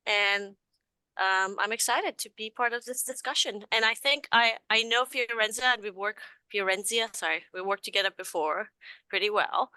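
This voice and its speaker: A woman's voice: medium pitch, sharp tone, clean tone, dry recording, rough recording. A woman from Iran introducing herself on a video call, friendly and correcting herself as she goes. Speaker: sales professional.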